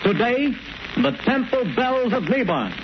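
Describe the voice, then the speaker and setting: A man's voice: extremely high pitch, expressive, moderate pace. A man announcing in scripted 1930s radio style, with declamatory, emphatic delivery. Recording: dull, noisy 1930s radio recording.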